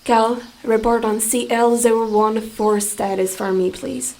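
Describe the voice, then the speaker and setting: A woman's voice: on the high side, steady tone, measured pace, darkish tone. A woman playing a weary, defensive astronaut scientist in an audio drama, with a clipped, strained manner. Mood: curt. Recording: faint background ambience.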